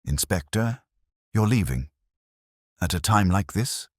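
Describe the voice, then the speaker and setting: Committee lady, acted by a male reader: imperious, indignant, sharply varied pitch, audio mystery. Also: expressive, unhurried, husky, no room sound, clean studio sound, exaggerated voice. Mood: indignant, demanding. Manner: imperious, demanding. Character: demanding, indignant village committee woman. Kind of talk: audio mystery story dialogue.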